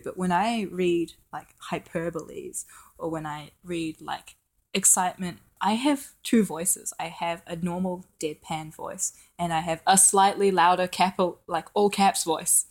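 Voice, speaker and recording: A woman's voice: fairly low pitch, darkish tone. A young woman co-hosting a podcast in casual chat, playful, laughing a lot and acting out quotes. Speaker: podcast co-host.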